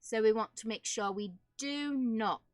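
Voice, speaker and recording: A woman's voice: on the high side, unhurried, no room sound, studio quality. A woman with a put-on British accent gives casual, playful game commentary, chatting to herself and viewers in affectedly genteel phrases. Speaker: streamer playing a building game for viewers. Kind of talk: casual live game commentary, decorating rooms.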